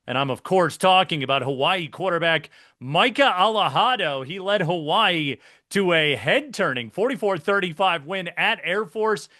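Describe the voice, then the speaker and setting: A man hosts a sports interview, introducing his guest with upbeat, polished broadcaster energy. His voice is bright and crisp and sits a little high for a man. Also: animated, moderate pace, few pauses. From mainland United States. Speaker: sports show host. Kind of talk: interview hosting.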